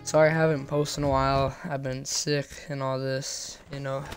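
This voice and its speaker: A man commentating casually as he streams a game, hoarse and losing his voice from being sick. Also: mid-range voice, flat delivery, normal speed. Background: game music.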